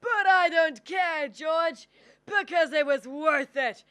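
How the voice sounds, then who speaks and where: Student playing folksy uncle, high bright voice. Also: slow, no room sound, full character voice. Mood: excited. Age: young adult. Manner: exclamatory, folksy. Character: excitable, loyal older uncle. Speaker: student actor. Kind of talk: radio drama acting.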